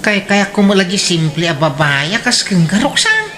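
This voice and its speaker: A woman playing a thrifty, gossipy wedding guest in a radio drama, with an expressive Ilocano-accented delivery. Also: mid-range voice, normal speed, a little hiss or hum.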